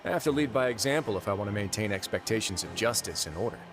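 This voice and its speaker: An actor playing a composed, fair-minded duke and prison warden in a video game, measured and dryly wry. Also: male voice, fairly low pitch, animated, natural voice. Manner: measured, deliberate, dryly playful. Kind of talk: video game character dialogue. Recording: game music behind the voice.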